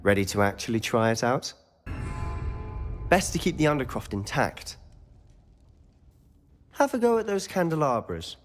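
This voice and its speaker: A young British schoolboy wizard in a video game scene. He is confident and secretive, speaking in a wry, confiding way with lively, swooping intonation. His pitch sits in the middle for a man, and music plays behind him. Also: unhurried, long pauses. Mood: keen, instructive. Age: young adult. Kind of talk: video game cutscene acting.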